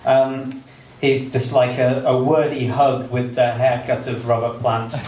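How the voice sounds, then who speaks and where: A man's voice: medium pitch, little pitch movement, unhurried, darkish tone. Man introducing an act, echoey and distant. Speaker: event host introducing a performer. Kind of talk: casual stage introduction. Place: spoken word event in a pub venue. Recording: echoey live venue, far from mic.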